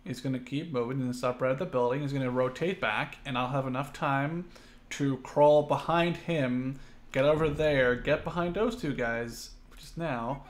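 A man's voice: mid-range voice, moderate pace. A man playing a video game and bantering casually with friends, swearing freely and given to exclaiming. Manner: profane, exclamatory.

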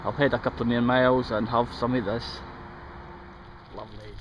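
A man's voice: medium pitch, level tone, moderate pace. Chatty Scottish man cycling outdoors. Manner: chatty, rambling, pointing things out. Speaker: vlogger. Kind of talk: casual commentary while cycling. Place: outdoors on canal paths and roadsides. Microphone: action camera held or mounted on the bike. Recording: outdoor camera audio with traffic and wind.

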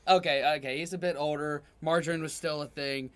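A man's voice: a little high, fairly even intonation, a little fast, fairly bright tone. An American man in his thirties chatting casually as a reaction video host. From United States. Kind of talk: casual chat. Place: small room, indoors.

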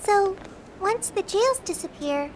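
A woman's voice: very high-pitched, lively intonation, takes it slowly, a little hiss or hum, exaggerated voice, rough recording. A woman voicing a cheerful, eager young girl in an anime video game, with a perky way of talking. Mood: upbeat.

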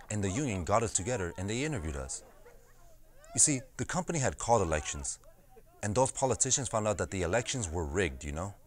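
Casting: young male actor as plain-spoken union organizer from the fields, low voice, conversational oral-history storytelling. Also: close to an everyday voice. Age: 20s. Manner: conversational storytelling with frequent "you know". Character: farmworker turned union organizer, streetwise and plain-spoken, recounting old struggles. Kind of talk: dramatized oral-history monologue.

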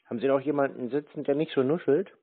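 A man's voice: mid-range voice, steady tone, very fast, husky. A man making mocking prank phone conversation in a teasing, sarcastic manner, as the host of the calls. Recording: narrow tinny phone line.

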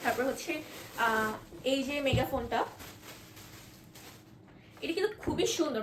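A woman with a Bangladeshi accent and a high voice gives an informal product demonstration. She explains in a halting way and drops in English words. The recording is echoey, with some background noise. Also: a bit bright. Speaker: product presenter.

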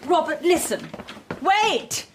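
An actress playing a worried, bewildered Victorian wife in a radio drama. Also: female voice, very high-pitched, animated, slow pace, background noise, exaggerated voice. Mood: worried, questioning.

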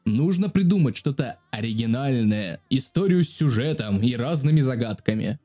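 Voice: mid-range voice, expressive, moderate pace, mellow. A video creator narrating a casual joking tutorial in a crude, slangy, self-deprecating way. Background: music.

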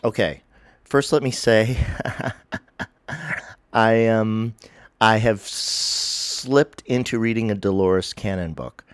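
Deep-voiced man with a stuffy cold. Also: slow pace, long pauses, dry recording. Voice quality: nasal, sniffly. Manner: rambling, self-correcting, with many um's. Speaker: spiritual vlogger. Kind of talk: casual talking-to-camera vlog. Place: living room at home.

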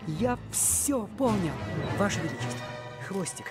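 A man playing an earnest, kind-hearted young hero in an animated film, urgent and respectful, over music. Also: sits high, unhurried. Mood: earnest, pleading. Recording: loud music behind.

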